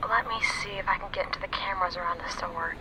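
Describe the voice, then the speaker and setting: A man voicing a quick, casual young hacker guiding the team over comms. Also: high-pitched, steady tone, noisy background, pitched above the performer's own voice, lightly acted. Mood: urgent.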